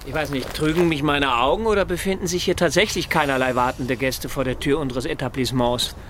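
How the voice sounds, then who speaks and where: Bright, insistent club colleague, acted by a man. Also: mid-range voice, brisk, a little hiss or hum, natural voice. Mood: uneasy. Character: wordy, excitable male club colleague. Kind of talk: radio play acting.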